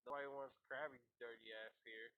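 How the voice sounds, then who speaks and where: A man's voice: mid-range voice, fairly even intonation, a little fast. A man talking casual, profane trash in a voice chat, confrontational in manner. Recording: tinny phone-like walkie-talkie app audio.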